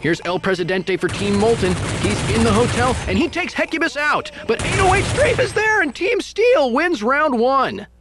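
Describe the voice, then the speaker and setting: A man's voice: very animated, moderate pace, hardly any pauses. A man giving play-by-play commentary on a competitive video game match, his voice jumping up at each kill. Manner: rises sharply in pitch at kills. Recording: TV broadcast with game gunfire noise.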